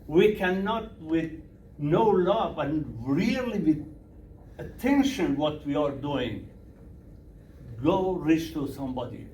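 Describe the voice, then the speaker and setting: Slow-talking Persian-accented man, echoey room. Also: fairly high pitch, animated, a little mellow. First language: Farsi. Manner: broken English, repeats questions to the audience. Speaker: minister. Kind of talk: workshop talk with audience questions. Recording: echoey room from a distance, faint noise.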